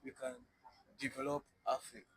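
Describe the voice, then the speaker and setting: A man interpreting between guest and host, relaying slowly with halting pauses and fillers. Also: medium pitch, little pitch movement, a bit bright. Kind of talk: interpreting in conversation.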